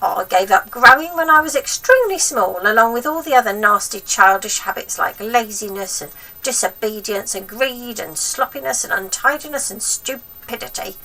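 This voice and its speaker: A woman's voice: a little high, animated, normal speed, pushed and bright, far from the mic, voice pushed up, full character voice, low-quality recording. A woman voicing a selfish, grumpy old grandmother from a children's storybook, snappish, scolding and demanding. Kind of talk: acted children's storybook reading.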